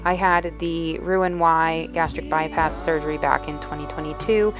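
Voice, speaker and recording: Low-voiced chatty woman vlogger. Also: fairly even intonation, moderate pace. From Northeastern United States. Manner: chatty and rambling, with fillers like "like". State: a bit sniffly. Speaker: lifestyle vlogger. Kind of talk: casual voice-over narration. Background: background music.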